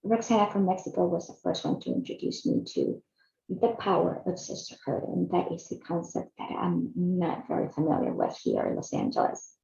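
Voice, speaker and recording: A woman's voice: fairly low pitch, gravelly, mellow. A woman in her fifties from Chile, earnestly narrating a personal video series she hosts. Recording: echoey, slightly dull room sound.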